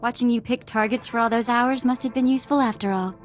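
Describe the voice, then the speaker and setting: A woman's voice: a little high, steady tone, quick pace, lightly acted. An actress playing a breezy, cheeky young female sidekick in a video game, casual and playful. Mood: proud, playful. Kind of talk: video game dialogue acting.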